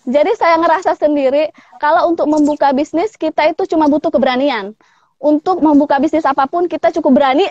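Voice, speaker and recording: A woman's voice: sits high, no echo, lo-fi sound. A woman from Palembang in her thirties, a food business owner chatting casually in an interview over a video call, with frequent laughs. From Palembang, Indonesia. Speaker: pempek business owner, guest.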